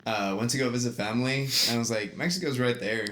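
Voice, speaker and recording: A man's voice: mid-range voice, quick pace, a bit bright, slight background noise. A young man hosting a casual podcast, talking loosely with lots of fillers and restarts and laughing easily. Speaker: podcast host. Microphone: USB desk microphone.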